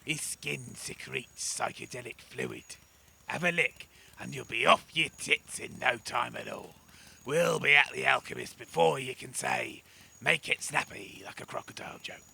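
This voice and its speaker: A man voicing a shady, druggy toad guide in a comedy audio drama, cheeky and menacing with raspy, slangy patter. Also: mid-range voice, big pitch swings, measured pace, pushed and bright, exaggerated voice. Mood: sly, amused. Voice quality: raspy, gravelly. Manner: clipped, slangy street-dealer patter.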